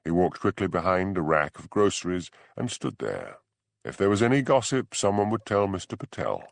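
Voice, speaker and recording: Guy narrating a novel, deep voice that moves around a lot, really dry close sound. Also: very animated, moderate pace, creaky, no echo. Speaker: audiobook narrator. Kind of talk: scripted fiction narration.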